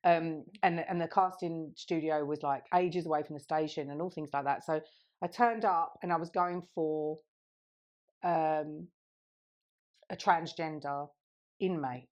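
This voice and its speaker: A woman's voice: sits low, unhurried, dull audio. A woman in her thirties co-hosting a podcast, telling a rambling story in casual chat and laughing often. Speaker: podcast co-host.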